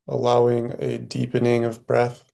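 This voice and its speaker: A man leading a guided meditation, speaking softly and slowly with long pauses between phrases. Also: medium pitch, completely flat delivery, creaky. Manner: slow, soft, long pauses.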